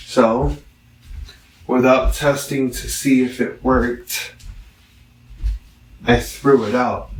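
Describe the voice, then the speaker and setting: A man giving a casual, rambling product review, halting and digressive, with long pauses. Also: medium pitch. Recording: roomy echo, distant microphone.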